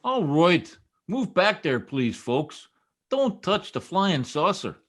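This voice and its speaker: An actor playing a boastful, folksy small-town American husband in an old-time radio comedy, with cocky, slangy, ungrammatical talk. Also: male voice, sits high, big pitch swings, slow, a bit bright, faint music behind, heavily acted. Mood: officious.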